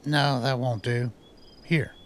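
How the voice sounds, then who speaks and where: An actor playing a weary, anxious research scientist in a radio drama, dry and conversational. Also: male voice, medium pitch, steady tone, takes it slowly, slight background noise, natural voice. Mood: dry, wry.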